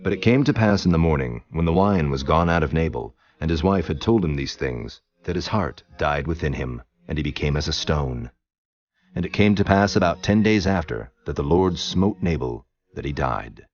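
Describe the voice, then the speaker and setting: A man giving scripted Bible narration as a solemn, measured storyteller. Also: extremely low pitch, animated, a little mellow, dull audio.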